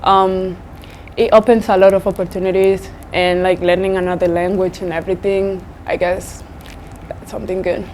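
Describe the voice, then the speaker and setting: A woman's voice: on the low side, level tone, moderate pace, darkish tone. A woman in her early twenties from Spain answers interview questions casually in English, which is not her first language. Her phrasing is loose and informal, with a little ambient noise behind her. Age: early 20s. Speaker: student basketball player.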